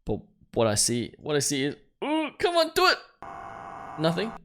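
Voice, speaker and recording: Expressive man hosting a gaming video. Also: fairly high pitch, moderate pace. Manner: expressive, reacting aloud. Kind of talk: casual let's play commentary, reading game text aloud. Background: game music.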